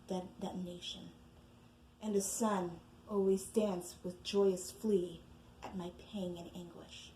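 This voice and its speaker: A woman performing a tormented, despairing soul in a poetic monologue, hushed, slow and brooding. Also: mid-range voice, echoey room, a little hiss or hum, close to an everyday voice, poor audio.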